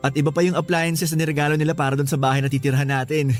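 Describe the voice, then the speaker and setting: An actor playing an earnest, devoted and excitable young groom in a radio drama, with a Filipino accent. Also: male voice, a little high, racing, faint music behind, close to an everyday voice. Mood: happy, tender. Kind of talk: radio drama acting in Tagalog.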